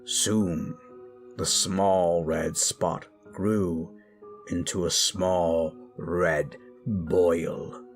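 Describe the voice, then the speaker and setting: A man's voice: low-pitched, fairly even intonation, very slow, soft background music. A man hosting and narrating scary stories with theatrical, macabre relish, moving between dramatic storytelling and musing commentary. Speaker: horror story narrator and host.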